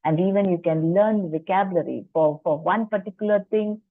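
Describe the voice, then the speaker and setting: A woman's voice: lowish voice, brisk, no echo. A woman lecturer presenting at a faculty workshop, explaining in a chatty way with personal asides and rhetorical questions. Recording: dry tinny phone-like line.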